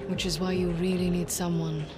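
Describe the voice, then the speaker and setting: A woman's voice: lowish voice, level tone, fairly quick, lightly acted. A woman playing a cool, confident mercenary business partner in a video game cutscene, assured in her delivery. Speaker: game character voice actor. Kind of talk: video game cinematic acting. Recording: loud music behind.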